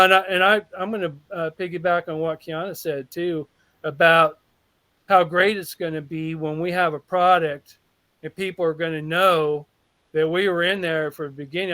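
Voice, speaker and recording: A man's voice: a little high, fairly even intonation, normal speed, sharp tone, no room sound, low-quality recording. A man on a video call, giving a rambling, enthusiastic testimonial and restarting his sentences often. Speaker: call participant. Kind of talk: casual testimonial on a group call.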